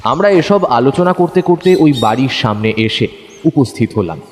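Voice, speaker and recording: A detective's curious, observant companion, played by a man recounts a mystery for an audio story. His delivery is very animated, with lively swings of pitch. The voice rings in a long hall-like reverb. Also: moderate pace, close to an everyday voice. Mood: storytelling. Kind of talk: dramatised first-person story narration. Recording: long hall reverb, faint background noise.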